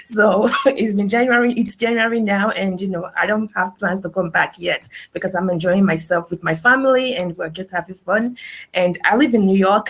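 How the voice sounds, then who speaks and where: Woman in her 30s, husky tone, earnest webinar testimonial, chatty asides. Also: fairly low pitch, low-quality recording. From Nigeria. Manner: earnest, peppered with "you know", "right?" and "guess what". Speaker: business testimonial speaker. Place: her house in Nigeria.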